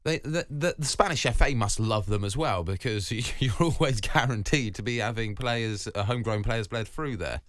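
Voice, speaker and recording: A man's voice: mid-range voice, normal speed, hardly any pauses, fairly bright tone, dry recording, clean studio sound. A man co-hosting a radio talk show, laughing often and stumbling over his first words. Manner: laughs often, stammering starts.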